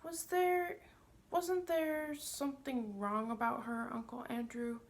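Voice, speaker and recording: A woman's voice: a little high, steady tone, slow pace, pitched above the performer's own voice. A woman voicing an indignant, inquisitive young schoolboy in a storybook, blunt and demanding in his questions. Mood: curious.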